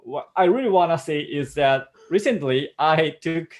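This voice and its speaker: Halting Japanese-accented man, casual chat. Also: a little high, lively intonation. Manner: halting, frequent fillers, laughs easily. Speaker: co-host, patent professional. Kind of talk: casual chat in a second language.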